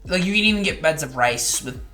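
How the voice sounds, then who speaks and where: Loud excited guy in his twenties, chatting on a podcast with faint music behind. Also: on the high side, fairly quick. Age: 20s. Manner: loud, enthusiastic. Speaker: podcast co-host. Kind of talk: casual chat. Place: large empty echoey room.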